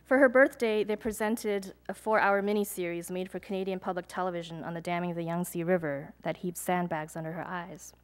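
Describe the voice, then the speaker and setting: A young adult woman, a poet, reading her poems aloud at a live event, measured and deliberate, with chatty, hesitant asides between pieces. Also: lowish voice, steady tone. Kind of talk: poetry reading with casual asides to an audience.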